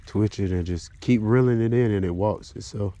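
A man's voice: fairly low pitch, moderate pace. A man vlogging his fishing trip on a wooded creek bank, stuffy from sinus trouble, casually explaining his lures. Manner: relaxed, explains lure action while casting. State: stuffy nose. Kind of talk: casual outdoor commentary. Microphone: body-mounted action camera. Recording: faint outdoor ambience.

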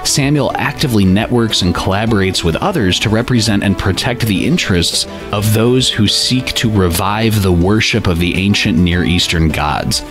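A man hosting a podcast, introducing his guest and asking playful, enthusiastic questions. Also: lowish voice. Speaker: podcast host.

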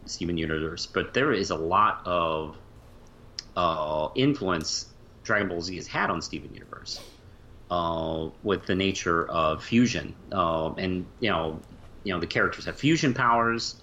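A man co-hosting a podcast in a casual fan discussion, speaking haltingly and often repeating words as he goes. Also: bright, forceful tone. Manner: halting, trailing off mid-phrase and repeating words. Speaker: podcast co-host. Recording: muffled low-fi mic with faint hiss.

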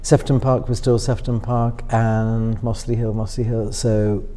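Middle aged guy, soft northwest english accent, low mellow voice, rambly with lots of ums, big reverby hall. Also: level tone, a little slow. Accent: upper-middle-class Northwest English softened by London. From Northwest England. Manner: musing, many fillers and restarts, wry laughs. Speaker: interviewee. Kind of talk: reflective interview answers.

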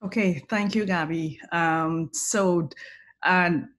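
A woman's voice: fairly low pitch, slow pace, a bit bright. A woman from Trinidad and Tobago with a Trinidadian accent, speaking in a thoughtful, personal way as a panelist on a video call.

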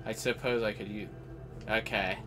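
A man's voice: fairly low pitch, fairly even intonation, moderate pace, noisy background. A man giving casual live commentary as host of a gaming video.

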